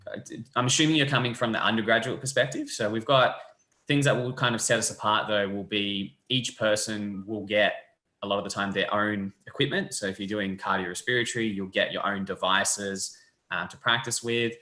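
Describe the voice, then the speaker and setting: A young man, a physiotherapy lecturer explaining the course in a relaxed, friendly way over a video call. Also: fairly low pitch, a little fast. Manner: relaxed, friendly, loose fillers. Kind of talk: casual explanatory chat. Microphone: webcam on a video call. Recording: echoey room.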